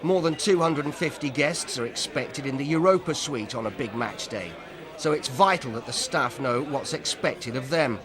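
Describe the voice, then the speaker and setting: A man narrating a documentary in a measured, even scripted delivery. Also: medium pitch, a crowd in the background.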